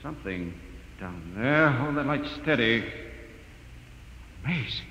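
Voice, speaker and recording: An actor playing an earnest, wondering scientist aboard a spaceship in an old science fiction radio drama. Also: male voice, medium pitch, lively intonation, a little slow, sharp tone, slight background noise. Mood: awed fascination. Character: earnest, awestruck male scientist, spaceship's technical expert. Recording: echoing, dull old radio recording.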